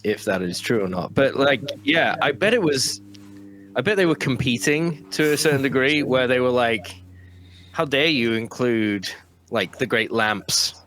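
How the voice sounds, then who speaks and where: A man's voice: medium pitch, expressive, a little slow, a bit bright. A man with a British accent, co-hosting a book podcast in casual chat and cracking playful asides. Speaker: podcast co-host.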